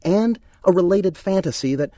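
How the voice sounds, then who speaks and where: A male professor reading a scripted radio commentary in a measured, expressive way. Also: sits high, no room sound, clean studio sound.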